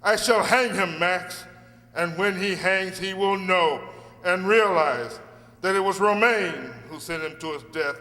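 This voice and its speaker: Man playing a forceful, oratorical defence barrister. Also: high voice, unhurried. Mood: reading solemnly. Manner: oratorical, formal. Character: earnest, dogged defence barrister. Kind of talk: courtroom radio play acting. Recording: hall reverb with faint hum.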